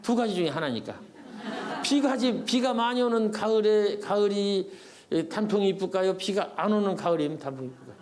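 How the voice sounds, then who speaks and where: A man's voice: high voice, expressive, brisk, a little mellow. An older Korean man, a poet, giving a folksy public lecture to older adults, asking the audience questions and answering them himself. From South Korea. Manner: folksy, repeats words for emphasis.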